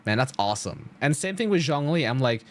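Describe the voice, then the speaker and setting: Man, game streamer, casual chat, medium-pitched, lively intonation, slangy, rambling, enthusiastic. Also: brisk.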